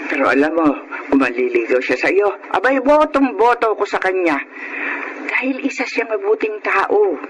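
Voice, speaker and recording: A woman's voice: medium pitch, very animated, moderate pace, close to an everyday voice. A woman playing a caring, outspoken middle-aged aunt in a radio drama, with a Filipino accent and an emphatic, motherly manner. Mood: prying, encouraging. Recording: noisy radio drama recording.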